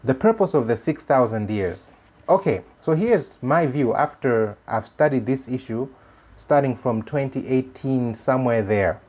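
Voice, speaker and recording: A man from Malawi with a Malawian accent, a native Chichewa speaker, hosting an informal religious commentary in an earnest, halting way that repeats phrases for emphasis. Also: mid-range voice, lively intonation, darkish tone. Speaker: host of a religious commentary channel.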